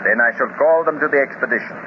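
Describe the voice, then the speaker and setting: A man's voice: mid-range voice, close to an everyday voice. A man voicing a pompous, gloating master villain. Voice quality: slow, measured, gloating delivery. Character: scheming male master villain, pompous and menacing. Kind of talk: 1930s radio serial acting. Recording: hissy, dull 1930s radio transcription.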